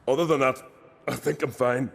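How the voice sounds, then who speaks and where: An actor playing a weary, grateful rescued captive in a fantasy video game, with an informal, wry manner. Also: male voice, medium pitch, fairly even intonation, normal speed, vocal fry, a bit bright. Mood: weary, relieved. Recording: long cave-like reverb.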